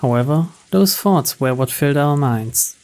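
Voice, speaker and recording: A man's voice: a little high, normal speed. A man reading a game's text aloud as dramatic scripted narration. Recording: steady rain noise behind. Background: rain.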